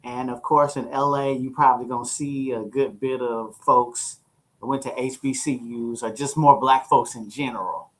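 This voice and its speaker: A middle-aged American man hosting a podcast, chatting casually in a rambling, slangy, animated storytelling way. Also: mid-range voice, moderate pace, rough recording. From St. Louis, USA. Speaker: podcast host. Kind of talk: casual chat.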